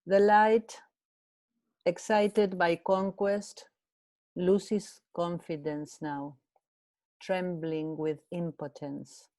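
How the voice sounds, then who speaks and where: A woman with an Argentine accent, reading a translated poem aloud in a measured, deliberate way. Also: on the low side, steady tone, warm tone, no echo. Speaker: translation prize committee member.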